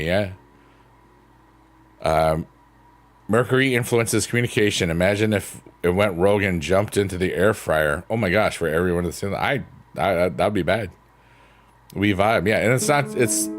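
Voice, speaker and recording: Deep-voiced man rambling on a late stream. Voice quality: booming voice. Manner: rambling, reflective, thankful, answers chat. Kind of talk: late-night casual stream chat. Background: soft piano music.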